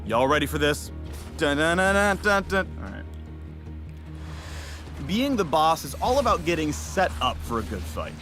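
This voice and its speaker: A man's voice: fairly high pitch, sing-song, normal speed, long pauses, a bit bright. A man narrating a game champion spotlight in a playful, punning, upbeat scripted style. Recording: narration over game music. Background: music.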